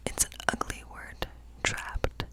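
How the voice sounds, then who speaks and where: Whispering woodland witch acted by a woman. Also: close to an everyday voice. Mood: gentle, pondering. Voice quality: breathy, unvoiced whisper. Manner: slow, gentle, musing, often repeating phrases. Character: kindly woodland witch reading tarot for a guest. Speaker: ASMR performer. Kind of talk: whispered ASMR fantasy role play.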